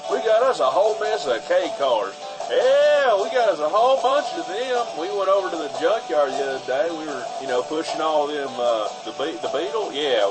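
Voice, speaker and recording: A folksy used-car dealer acted by a man rambles through a radio ad in a Southern US drawl. His voice sits a little high and loud music plays behind him. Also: low-quality recording. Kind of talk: improvised-sounding comic radio car ad.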